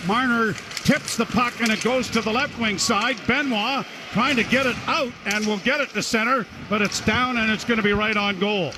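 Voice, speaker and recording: Male radio hockey announcer, very high voice, excitable and clipped, over noisy broadcast sound. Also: moderate pace, hardly any pauses. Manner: excitable, clipped running description. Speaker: play-by-play announcer. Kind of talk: live radio hockey commentary.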